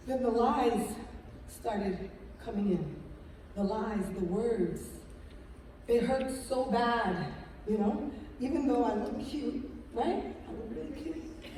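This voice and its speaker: A middle-aged woman telling her story on stage as a motivational speaker, animated, tagging her lines with "right?". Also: fairly high pitch, a little hiss or hum. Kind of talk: storytelling talk on stage with slides. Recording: echoey hall from far off.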